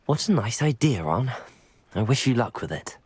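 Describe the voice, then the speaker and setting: A man's voice: medium pitch, very animated, normal speed, breathy edge. A man voicing an earnest, polite young wizard student hero in a video game. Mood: calm, pleased. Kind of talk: video game dialogue and shouted spell calls. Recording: loud game music behind the voice. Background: music.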